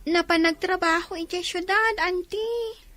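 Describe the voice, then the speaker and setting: A woman's voice: very high voice, unhurried, bright, forceful tone, dry recording, soft background music, exaggerated voice. A woman with an Ilocano accent playing an eager, polite young schoolgirl and little sister in a radio drama.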